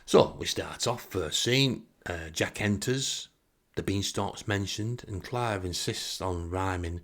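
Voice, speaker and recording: A man's voice: sits low, takes it slowly, a bit bright. A man from England, telling a comic anecdote in a wry, exasperated way. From northern England. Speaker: storyteller.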